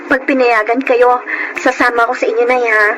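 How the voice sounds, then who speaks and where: A woman's voice: a little high, little pitch movement, moderate pace, over loud music, close to an everyday voice. A woman acting a respectful, eager young daughter in a Filipino radio drama. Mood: concerned, eager. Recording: noisy radio-drama broadcast.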